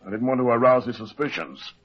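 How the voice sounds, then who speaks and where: A man's voice: medium pitch, quick pace, close to an everyday voice. An actor playing a composed company man hiring a detective in an old-time radio drama, polite and explanatory. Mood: businesslike. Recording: dull lo-fi old radio recording.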